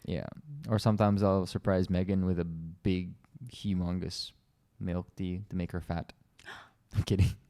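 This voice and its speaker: Laid-back low-voiced man, joking. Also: little pitch movement, measured pace, dark tone. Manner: easygoing, joking, talks in loose run-on thoughts. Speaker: podcast co-host. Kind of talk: casual couple's podcast chat.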